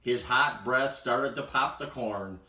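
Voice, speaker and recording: Slow, bright-voiced man reading a bedtime story. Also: mid-range voice. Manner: expressive, acts out sound words. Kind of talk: read-aloud children's storytelling. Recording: roomy echo, distant from mic.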